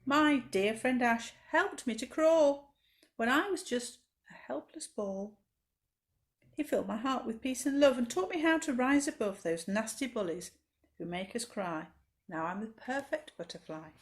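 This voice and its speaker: A woman voicing a kind, brave little female butterfly in a children's storybook, gentle and earnest. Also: on the high side, animated, unhurried. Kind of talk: children's storybook read-aloud.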